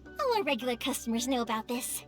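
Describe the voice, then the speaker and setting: A woman voicing a cheerful, caring young woman in a video game, chatty, animated and reassuring. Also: on the high side, quick pace. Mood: proud, friendly. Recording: background music under the voice.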